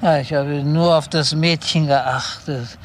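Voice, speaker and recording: An actor playing a flustered male eyewitness in a German radio crime drama, halting and repeating himself. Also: a little high, fairly even intonation, slight background noise, lightly acted. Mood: shaken, flustered. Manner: halting, repetitive, tags statements with "nicht?". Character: flustered male eyewitness to a fatal car incident.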